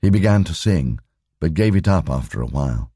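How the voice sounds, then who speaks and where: Very deep-voiced male audiobook narrator. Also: big pitch swings, brisk, dark tone, slightly rough, dry recording, studio quality. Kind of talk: scripted narration.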